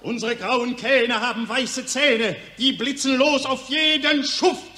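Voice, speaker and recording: A man's voice: very high-pitched, fairly even intonation, slow pace, sharp tone, some chatter behind, heavily acted. A man playing a fanatical war poet who declaims bombastic patriotic verse in a 1940s radio drama. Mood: bombastic, rousing. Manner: declamatory, exclamatory. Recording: roomy, echoing 1940s radio recording.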